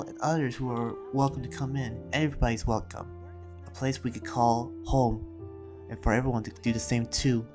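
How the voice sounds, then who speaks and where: A roleplayer voicing an earnest, troubled demon slayer, telling events in a halting, reflective recap with restarts. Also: medium pitch, natural voice. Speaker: roleplayer and video creator. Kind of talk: in-character recap narration and improvised roleplay. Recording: music under the voice. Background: music.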